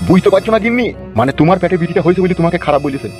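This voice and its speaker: Cartoon comedy: middle-aged family man, played by a man, soothing his wife in a rapid-fire rush. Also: high voice, lively intonation, over music. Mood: placating.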